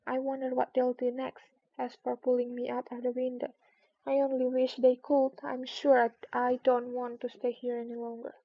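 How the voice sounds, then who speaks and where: A woman's voice: high-pitched, completely flat delivery, normal speed. A woman voicing a curious, plucky little girl from a storybook, musing to herself. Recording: dull lo-fi recording.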